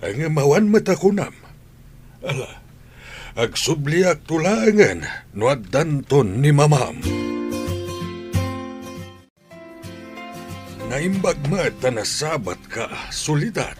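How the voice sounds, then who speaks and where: An elderly grandfather from a radio drama, acted by a man, with an Ilocano accent. His voice is a little high and very expressive as he worries and admonishes, with faint music behind him. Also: slow pace, exaggerated voice. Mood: concerned. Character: elderly grandfather, concerned, admonishing family patriarch.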